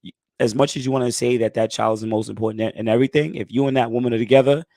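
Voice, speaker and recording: A man in his thirties hosting a casual live stream Q&A, talking in a laid-back, conversational way, his voice a bit hoarse as he gets it back. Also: mid-range voice, steady tone, rapid-fire, mellow, dry recording, clean studio sound. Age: 30s. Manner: laid-back, conversational, frequent "you know what I'm saying". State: hoarse, recovering lost voice. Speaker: channel host answering live chat.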